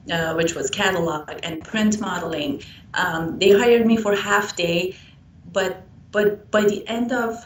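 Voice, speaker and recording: Slow-speaking Persian-accented woman, roomy sound. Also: fairly low pitch. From Iran. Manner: halting, pausing often between phrases. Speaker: interviewed model. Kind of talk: conversational interview answers. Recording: roomy, distant mic with background noise.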